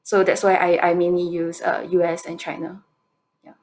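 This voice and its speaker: Young woman, Singaporean accent, lowish voice, halting answers, video call. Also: level tone, darkish tone. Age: 20s. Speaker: competition presenter answering judges' questions. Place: indoor room, on a video call.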